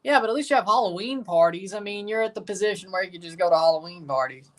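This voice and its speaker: A man's voice: sits high, expressive, quick pace, a bit bright. Young man joking through a live match. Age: 20s. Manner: casual, joking banter with viewers. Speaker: livestream host. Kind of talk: live match watchalong commentary.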